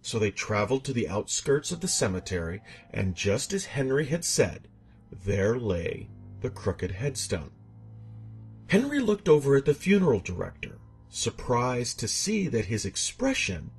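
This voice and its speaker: A man's voice: medium pitch, big pitch swings, a little slow, husky, soft background music. A man narrating a scripted horror story in a theatrical, suspenseful way.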